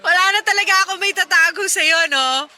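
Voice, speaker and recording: A woman playing an excited, smitten single woman in a radio drama, with a Filipino accent and a bubbly, coy, playful manner. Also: very high voice, a little fast, exaggerated voice. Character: excited, smitten single woman chatting with her best friend. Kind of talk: Tagalog radio drama dialogue. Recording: music bed behind the dialogue.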